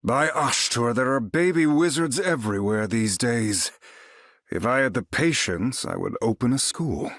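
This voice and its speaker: An actor playing a proud, battle-ready sorcerer hero in a video game, speaking in a grandiose, formal, theatrical manner. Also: male voice, mid-range voice, expressive, measured pace, fairly bright tone, no room sound, clean studio sound. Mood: dry, conversational. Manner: grandiose, formal, incantatory delivery. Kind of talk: video game character voice lines.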